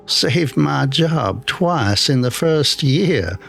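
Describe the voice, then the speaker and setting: A man's voice: on the high side, lively intonation, very slow, vocal fry, fairly bright tone, faint music behind, voice pushed up, exaggerated voice. A man voicing a plain-spoken, wistful retired engineer of eighty-one in an audio horror story, in clipped sentences. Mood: wry, fond.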